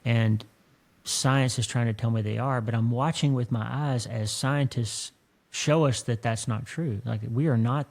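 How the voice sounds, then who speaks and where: A man hosting a podcast in a conversational, earnest, effusive and folksy way, with a creaky voice. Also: mid-range voice, fairly even intonation, unhurried, darkish tone. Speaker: podcast host. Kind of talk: conversational interview and podcast talk.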